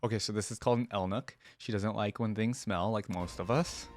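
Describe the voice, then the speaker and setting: A man's voice: on the low side, fairly quick. A man hosting a game reaction channel, chatting casually and enthusiastically as he comments. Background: faint music.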